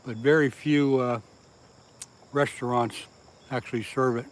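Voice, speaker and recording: A man's voice: mid-range voice, steady tone, measured pace. A man from southeast Pennsylvania chatting on a casual fishing livestream, reading viewer comments aloud and laughing at his own jokes. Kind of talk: casual live-stream chat with viewers. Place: outdoors by the water.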